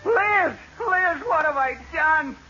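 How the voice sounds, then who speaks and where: Flustered impatient sitcom husband, highish voice that jumps around, old radio. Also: male voice, expressive, slow pace, exaggerated voice. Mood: agitated. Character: flustered, coaxing husband, impatient but devoted. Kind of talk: 1940s-50s radio sitcom acting. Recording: muffled, noisy old radio recording.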